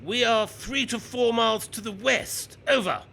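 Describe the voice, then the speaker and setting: A man's voice: very high-pitched, unhurried, vocal fry, sharp tone, slight background noise, heavily acted. An actor playing a clipped, menacing British army major in a radio play, obedient to his general but out for revenge. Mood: clipped, eager. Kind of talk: radio play acting, military radio exchange.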